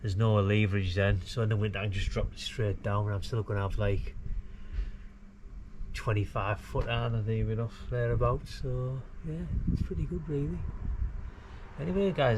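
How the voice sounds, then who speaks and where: A middle-aged man chatting casually and rambling as he thinks aloud through a DIY job outdoors. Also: on the low side. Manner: rambling, laid-back, colloquial, thinking aloud. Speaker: amateur radio hobbyist filming a DIY video. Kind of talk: casual chat while demonstrating DIY. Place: outdoors beside a brick house wall. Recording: noisy outdoor recording with ambient traffic.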